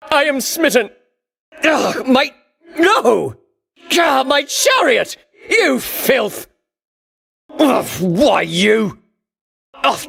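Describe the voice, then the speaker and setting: Casting: a boastful ancient Greek champion king in a game, high ringing voice, theatrical pomp, wildly swooping intonation. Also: creaky, fairly bright tone, slightly rough, exaggerated voice. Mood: flustered outrage. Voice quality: ringing, declamatory. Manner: pompous, archaic grandiose diction. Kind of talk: theatrical video game voice acting.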